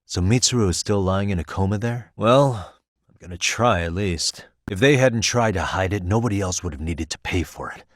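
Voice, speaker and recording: A man playing a shrewd, level-headed private detective in his thirties for a video game, speaking in a measured, matter-of-fact, determined way. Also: deep voice, dry recording, studio quality. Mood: matter-of-fact, serious. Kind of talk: English video game voice acting.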